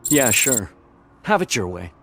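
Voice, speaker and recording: Bitter, very animated office worker. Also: male voice, mid-range voice, unhurried, a little hiss or hum. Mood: bitter, resentful. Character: bitter, aggrieved fired male office worker. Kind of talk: video game cutscene acting.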